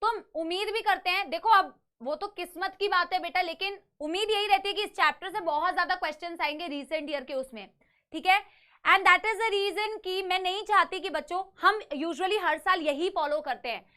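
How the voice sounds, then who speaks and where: A woman's voice: very high voice, normal speed, sharp tone. A young woman teaching a live online biology class with a slightly sore throat, who coaxes her students, calls them "beta" and mixes English phrases into her lecture.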